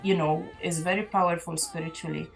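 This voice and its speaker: Woman, gospel singer as guest, lowish voice, earnest and reflective, soft music underneath. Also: fairly even intonation, a little fast, vocal fry, poor audio. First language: Maltese. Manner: earnest, reflective testimony with restarts. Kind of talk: casual interview chat.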